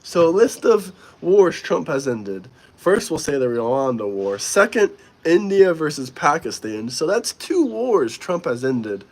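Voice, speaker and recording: A man's voice: on the high side, sing-song, measured pace, few pauses. A young man hosting a live stream, casually and bluntly answering viewer comments while a little under the weather. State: slightly sick. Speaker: livestream host.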